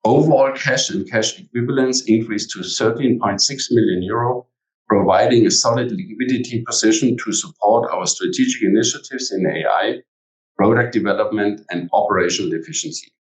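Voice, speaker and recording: A man's voice: on the low side, fairly quick. A man formally reading a prepared company earnings presentation as an executive. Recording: echoey room, distant from mic.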